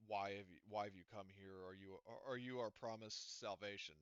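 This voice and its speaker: A man's voice: fairly low pitch, steady tone, brisk, clean tone, no echo, natural voice. A man voicing a haggard old woman who leads a cult of prisoners, talking in a rambling, pleading way. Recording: muffled lo-fi audio.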